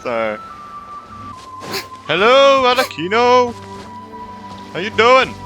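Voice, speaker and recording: A man's voice: extremely high pitch, big pitch swings, slow, pushed and bright, soft background music. A young man giving casual, reactive playthrough commentary as a gaming streamer, often repeating himself, close on the mic.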